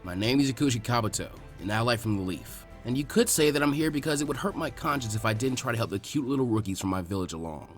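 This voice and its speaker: A man plays a calm young male ninja in a read-aloud story. He speaks low and smoothly, placating with a smug, condescending undertone. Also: quick pace, few pauses, faint music behind, close to an everyday voice.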